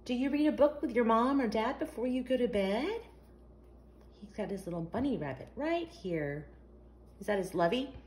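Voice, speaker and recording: A woman's voice: a little high, animated, moderate pace, darkish tone, slight background noise. A woman hosting a children's storytime, reading a picture book aloud expressively in a sing-song, question-asking way. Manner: sing-song, asks the young listeners questions.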